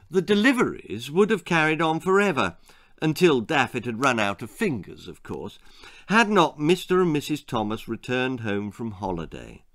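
A man's voice: mid-range voice, a bit bright, a little hiss or hum. A man in his sixties reading a children's story aloud as a dramatic narrator, in a room indoors. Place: small book-lined room indoors.

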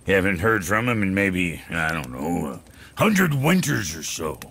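An actor playing a brusque, gravelly-voiced male dwarf blacksmith in a video game, speaking in an offhand, wry way. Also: sits low, sing-song, unhurried, a bit bright, full character voice. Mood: wry, casual. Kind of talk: video game character dialogue.

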